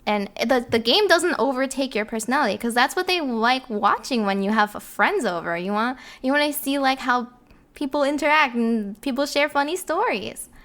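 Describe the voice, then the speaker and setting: A woman's voice: high-pitched, animated, normal speed, fairly bright tone. A woman chatting casually in a group, giggly and self-deprecating. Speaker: podcast voice actor.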